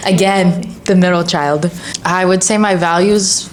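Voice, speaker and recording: A bubbly young woman co-hosts a casual podcast chat, laughing easily between her stories. Her voice is a bit lower than most, with a faint hiss underneath. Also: steady tone, slow pace. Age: 20s. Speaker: co-host.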